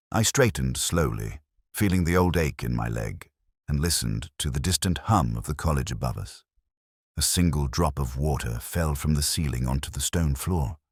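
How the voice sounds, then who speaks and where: A man narrating a detective mystery in the first person as an ageing, contemplative detective, in a measured, literary, reflective manner. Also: rumbling low, breathy edge, no echo, clean studio sound. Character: ageing male detective, melancholy, contemplative, world-weary.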